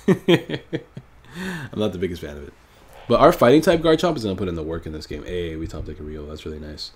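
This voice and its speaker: A man's voice: sits low, lively intonation, normal speed, long pauses, breathy edge. A man with a stuffy, nasal voice from a cold, casually thinking aloud while commenting on his own card game match. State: sick, stuffy nose. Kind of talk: live gameplay commentary.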